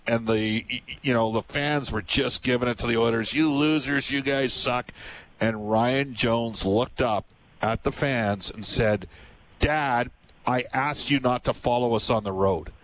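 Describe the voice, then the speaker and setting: A man's voice: medium pitch, a little slow, a bit bright, a little hiss or hum, poor audio. A man from Canada hosting a casual chat, telling rambling anecdotes full of fillers. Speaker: host.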